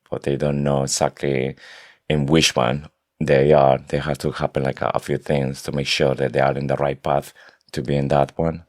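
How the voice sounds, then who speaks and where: A podcast co-host casually discussing books, with halting, non-native phrasing. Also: a bass voice, darkish tone, no room sound, clean studio sound.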